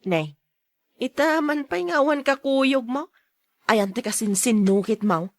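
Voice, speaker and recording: A woman's voice: high voice, animated, takes it slowly, dry recording, full character voice. A woman acting a defiant, gossiping daughter in a radio drama, argumentative and whining. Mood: accusing. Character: defiant, gossiping daughter who insists she saw it.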